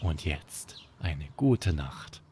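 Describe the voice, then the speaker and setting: Slow-paced man telling bedtime stories. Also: extremely low pitch, sing-song, darkish tone, slight background noise. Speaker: children's author, podcast host and storyteller. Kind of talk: scripted bedtime story narration.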